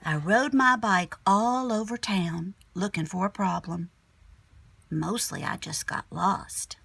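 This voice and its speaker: Lady teacher reading a kids book out loud, bit husky n creaky, chatty lil asides. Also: medium pitch, slow pace. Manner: addresses young listeners with simple questions and asides. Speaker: elementary teacher reading aloud. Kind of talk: expressive read-aloud of picture book with chatty asides.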